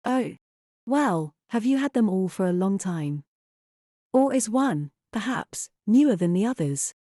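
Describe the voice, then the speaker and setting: A mellow, courteous young mother acted by a woman for an audio drama. She is well-spoken and a little hesitant. The sound is close and dry, at a medium pitch. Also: expressive, measured pace, dry recording. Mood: politely hesitant. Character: Susan, polite hesitant young mother and wife.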